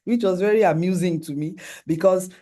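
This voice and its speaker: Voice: mid-range voice, brisk, warm tone. A middle-aged speaker with a Nigerian accent giving a conference presentation with slides, earnestly working through lists of points and often restarting sentences.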